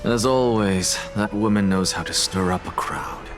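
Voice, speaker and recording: An actor playing a proud, cynical and defiant scholar in a video game, speaking in a measured, erudite and condescending way. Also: male voice, lowish voice, lightly acted. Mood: dry, weary. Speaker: scholar and professor character. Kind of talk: dramatic video game voice acting. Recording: music behind the voice.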